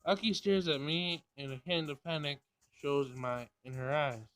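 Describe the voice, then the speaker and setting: A man's voice: a little high, steady tone, moderate pace, fairly bright tone, clear, resonant tone. A man reading visual novel narration aloud, sometimes stumbling over the text and rereading it.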